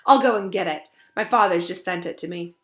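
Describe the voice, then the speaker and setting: A man's voice: high-pitched, brisk, bright, forceful tone, echoey room. A woman voicing an arrogant, sneering teenage schoolboy with a drawling, scornful delivery for a storybook. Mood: scornful, impatient.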